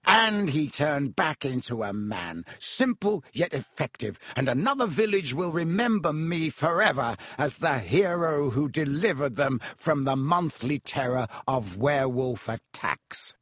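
A man's voice: fairly high pitch, big pitch swings, a little slow, few pauses, a bit bright, no echo, clean studio sound, exaggerated voice. A man voicing a vain, boastful wizard professor in a pompous, theatrical manner for a storybook. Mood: grandly triumphant. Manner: pompous, self-dramatising. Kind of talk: audiobook character acting.

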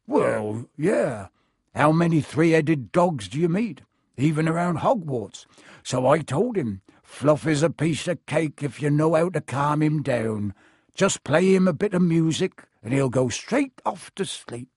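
Man playing a big bumbling groundskeeper, hearty, chatty, guileless, raised lively pitch, dry sound. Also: measured pace, husky, no echo, exaggerated voice. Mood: chatty, boastful.

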